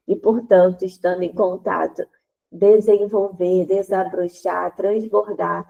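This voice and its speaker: Slow, congested woman with a gravelly, mellow voice. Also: medium pitch, lo-fi sound. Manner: gentle, deliberate, repeats phrases while searching for words. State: congested, nasal from sinusitis. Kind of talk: prayer then slow spoken teaching.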